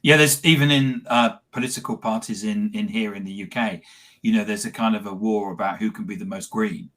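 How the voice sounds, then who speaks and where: Low-voiced male interview host. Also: brisk, a bit bright. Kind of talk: interview questions.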